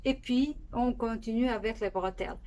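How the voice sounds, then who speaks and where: A woman from Portugal speaking French with a Portuguese accent, hosting her knitting podcast in a casual monologue that often pauses and restarts. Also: on the high side, dark tone, highs cut off. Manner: unhurried, with frequent pauses and restarts.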